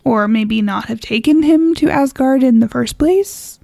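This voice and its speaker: Woman, podcast co-host, creaky voice, informal and wry, close dry sound. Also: high-pitched, unhurried, dark tone, dry recording. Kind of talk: casual chat.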